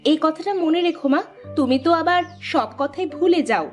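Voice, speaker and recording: A demanding young daughter, voiced by a woman, whines in a very high, piping voice. Light music runs beneath her. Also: lively intonation, normal speed, a little mellow. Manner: whiny, demanding.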